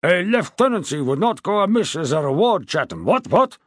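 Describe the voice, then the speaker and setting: Man playing a loud old monarch, blustering, high and impatient, restless swings in pitch. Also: sing-song, moderate pace, dry recording, studio quality. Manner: booming, emphatic, tags "what, what?". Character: blustering older male king, impatient and excitable.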